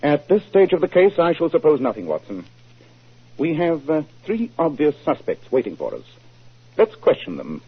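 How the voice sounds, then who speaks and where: An actor playing a self-assured English detective in a 1940s radio drama, deliberate, authoritative and incisive. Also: male voice, on the high side, huge pitch swings, slightly rough, exaggerated voice. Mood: commanding. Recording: muffled 1940s radio broadcast recording.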